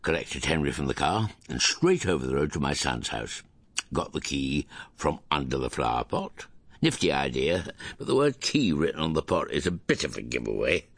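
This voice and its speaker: An actor playing a fussy, bumbling, self-important older grandfather in a radio comedy drama, with a gravelly, husky voice and a hesitant, self-justifying manner. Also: a bass voice, sing-song, moderate pace, dry recording, exaggerated voice. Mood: grandly pleased. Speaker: actor, lead and narrator.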